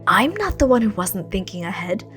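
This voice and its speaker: A woman's voice: medium pitch, sing-song, normal speed, a bit bright, soft background music. A woman voicing a hot-tempered, self-sacrificing teenage boy hero in story dialogue, taut and terse. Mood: tense protest.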